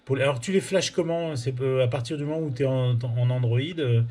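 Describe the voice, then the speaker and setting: Mellow-voiced man interviewing casually. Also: medium pitch, fairly quick. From France. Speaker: interviewer, amateur radio tutorial host. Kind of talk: casual technical interview, explaining and recapping. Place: indoors at a radio amateur's home.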